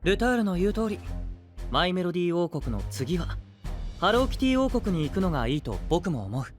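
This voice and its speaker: An actor playing a gentle, earnest young knight who leads a council in a polite way, in an anime-style voice drama. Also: male voice, sits high, very animated, a little fast, faint music behind. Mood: earnest, composed.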